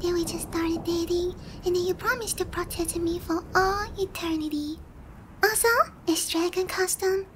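A woman's voice: very high-pitched, fairly even intonation, moderate pace, background noise. A woman voicing a haughty, flustered, cutesy young dragon girl in an anime ASMR roleplay, condescending yet hesitant. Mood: nervous, coaxing. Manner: condescending, hesitant with giggles and murmured asides. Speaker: voice actor.

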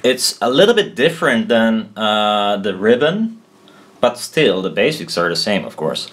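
Slow-talking low-voiced man explaining. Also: sing-song, fairly bright tone. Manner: halting, self-deprecating. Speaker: presenter explaining loudspeaker technology. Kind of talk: informal hand-drawn tutorial, thinking aloud. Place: indoors at a desk.

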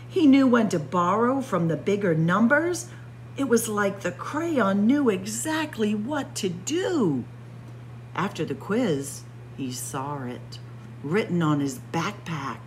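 Expressive woman reading a spooky picture book. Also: mid-range voice, slight background noise, rough recording. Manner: dramatic, expressive storytelling with spooky whispers. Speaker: children's librarian. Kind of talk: picture book read-aloud. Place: library with bookshelves.

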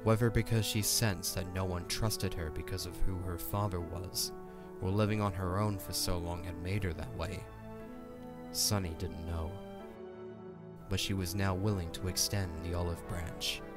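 A man's voice: low-pitched, steady tone, normal speed, over loud music. A man narrating a scripted audio drama as its storyteller.

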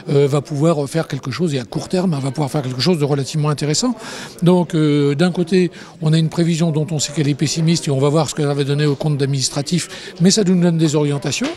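A man's voice: on the high side, warm tone. A man in his fifties with a French accent answering an interview as a local official, hesitantly and repeating words as he explains. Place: large meeting hall, indoors. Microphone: handheld interview microphone.

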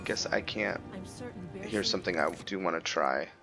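A man's voice: low-pitched, normal speed, gravelly. A man hosting a casual let's play, commenting on his roleplay as he goes. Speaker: gaming host. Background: game music.

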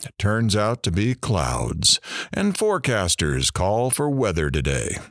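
A man performs an irreverent radio talk show DJ for an audio drama. His voice sits fairly low and swings widely in pitch, dry and close. He is sarcastic and showy. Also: wildly swooping pitch, a little slow, creaky, fairly bright tone, no room sound, exaggerated voice. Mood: sardonic, showy.

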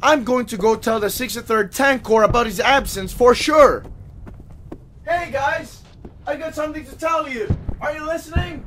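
A man's voice: very high voice, moderate pace, fairly bright tone, a little hiss or hum. A man playing a petty, self-righteous, whiny army private in an audio drama, fussy and indignant. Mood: smug, tattling. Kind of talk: radio drama acting.

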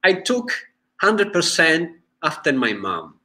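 A man in his forties with an Italian accent talks slowly over a video call from a room at home. His pitch sits a little high, and he halts often, filling gaps with "uh" and restarting phrases as he searches for the words. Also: very animated, bright, forceful tone. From Italy. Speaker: guest filmmaker. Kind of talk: casual interview chat. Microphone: video call webcam.

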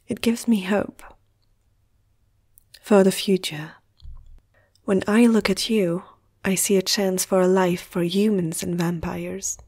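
A woman acts out a gentle, flirtatious vampire lady of noble blood in an ASMR roleplay. Her delivery is slow and intimate, with a mellow, slightly husky softness that often slips toward a whisper. She teases tenderly. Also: medium pitch. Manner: soft, intimate, teasing, often dropping to a whisper.

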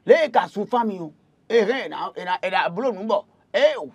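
A man's voice: sits high, expressive, moderate pace, dull audio, crowd noise. A Beninese man in his thirties hosting an unscripted TV studio talk, on a close lapel mic. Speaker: TV show host.

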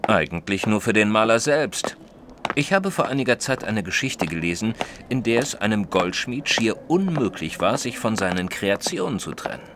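An actor playing a modest, sensible male companion who narrates a detective audio drama in a measured, literate way. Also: fairly low pitch, lively intonation, background noise, natural voice. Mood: mildly puzzled. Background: music.

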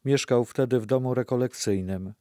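A man's voice: medium pitch, moderate pace, mellow, dry recording, studio quality. A man narrating a scripted documentary audio play.

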